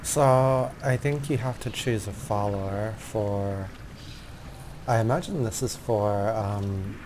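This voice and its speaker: A man's voice: lowish voice, slow pace, noisy background. A man hosting a gaming video with casual walkthrough commentary. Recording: faint game music behind.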